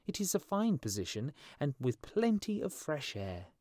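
Man voicing a high-pitched, fussy little swallow. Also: big pitch swings, darkish tone, no echo, studio quality. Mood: pleased. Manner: brisk, self-important, complaining. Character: small vain chatty male swallow, fussy but kind.

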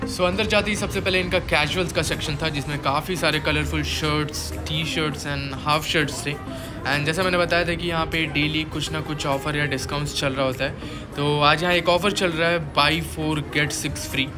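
A man's voice: a little high, fairly even intonation, normal speed, music in the background. A young man with an Indian accent narrating a casual shopping vlog, often mixing in English words and phrases. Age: young adult. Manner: mixes English words and phrases into his speech. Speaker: vlogger.

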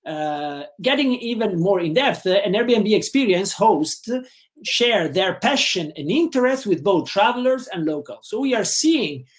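A man's voice: sits high, very animated, a little slow. A middle-aged Italian man with an Italian accent, giving an online lecture over a webcam call, with many fillers and repeated words. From Italy. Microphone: webcam video call.

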